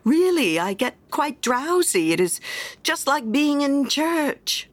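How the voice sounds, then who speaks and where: A woman's voice: high voice, big pitch swings, slow, dry recording, pitched above the performer's own voice, exaggerated voice. A woman voicing a fawning miller's wife who gushes with admiration. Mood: gushing. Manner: gushing, admiring.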